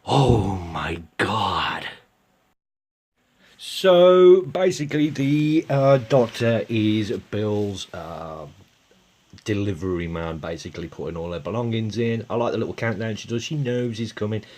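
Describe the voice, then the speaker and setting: A man's voice: lowish voice, a little slow, darkish tone. A man with a Birmingham accent giving a casual, rambling TV episode review, full of fillers and jokey asides to viewers. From England.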